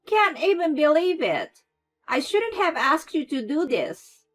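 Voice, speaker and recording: Woman voicing a shrill spiteful mother-in-law. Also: animated, slow, soft background music. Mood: scolding. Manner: shrill, scolding, loud.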